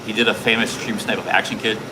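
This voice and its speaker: Loud rambling streamer man, low voice. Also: fairly even intonation, very fast. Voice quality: loud, carrying voice. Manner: rambling, many fillers and restarts. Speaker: livestream host. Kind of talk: casual chat while streaming. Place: city street outdoors. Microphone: streaming camera rig. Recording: clear street noise.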